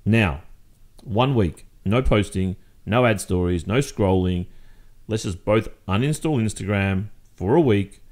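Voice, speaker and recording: Deep-voiced jealous Australian boyfriend played by a man. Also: measured pace, a little mellow, lightly acted. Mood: firm, insistent. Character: jealous, exasperated Australian boyfriend confronting his partner. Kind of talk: boyfriend roleplay audio monologue, conversational.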